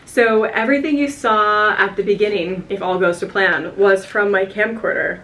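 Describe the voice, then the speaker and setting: A woman's voice: medium pitch, normal speed, a bit bright, low-quality recording. American woman vlogger, a bit tipsy, giggly and rambling, camera mic with faint hotel room hiss. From United States. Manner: chatty, giggly, rambling with fillers. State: a little tipsy. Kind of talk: casual vlog chat to camera.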